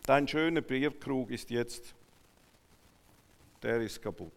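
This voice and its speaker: A man with a Swiss German accent preaching a sermon to a congregation, emphatic and swinging between hushed asides and raised declarations. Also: mid-range voice, slow pace, a little hiss or hum. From Switzerland. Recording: long hall reverberation.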